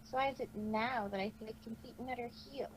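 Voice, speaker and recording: A woman voicing a proud but guilt-ridden female cartoon pony, brooding and halting as she questions herself, in an amateur story reading. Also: fairly high pitch, steady tone, close to an everyday voice. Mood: conflicted, guilty. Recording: noisy amateur microphone recording.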